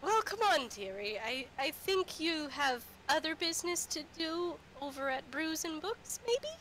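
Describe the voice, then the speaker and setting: A woman's voice: high voice, sing-song, unhurried, a bit bright, voice pushed up, full character voice. A woman voicing a sweet, motherly old orc lady with a fond, genteel manner in a tabletop game. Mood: sweetly coy. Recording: noisy game stream.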